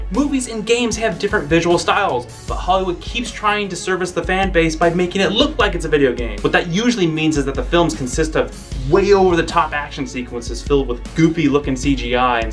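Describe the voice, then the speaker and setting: A young American man giving scripted video essay commentary as a web show host, emphatic and opinionated with punchy asides. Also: a little high. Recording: music playing behind the voice.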